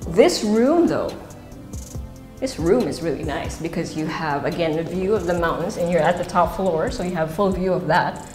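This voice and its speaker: Low-voiced Filipino woman in echoey rooms. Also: animated, normal speed. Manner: chatty, unscripted, switches into Tagalog asides. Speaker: house tour host. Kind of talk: casual guided property tour, presenting while walking. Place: empty rooms and stairwell indoors. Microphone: wireless body mic, pack at waist. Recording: echoey bare rooms, music underneath. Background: music.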